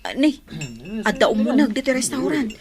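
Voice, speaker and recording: A woman's voice: high voice, lively intonation, normal speed, a crowd in the background. A woman playing an anxious overseas restaurant worker in a radio drama, with a Filipino accent.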